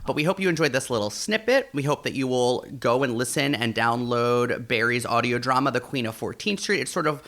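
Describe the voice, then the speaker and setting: Bright-voiced, gushing male podcast co-host. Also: mid-range voice. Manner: gushing, slangy, peppered with "like". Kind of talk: casual chat.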